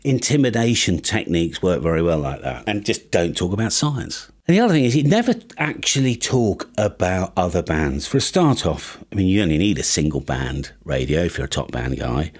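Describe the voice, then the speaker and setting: A man's voice: sits low, expressive, brisk, few pauses. A British man in his fifties giving a chatty, tongue-in-cheek comic monologue to camera as a presenter. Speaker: YouTube ham radio presenter. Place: small home studio with acoustic panels. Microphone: studio microphone on boom arm.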